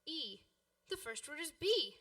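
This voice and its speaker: Earnest young schoolboy, emphatic, high and bright, deliberate pace, radio play. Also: female voice, sing-song, distant mic, heavily acted. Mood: eager. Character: earnest, excitable schoolboy who grumbles when let down.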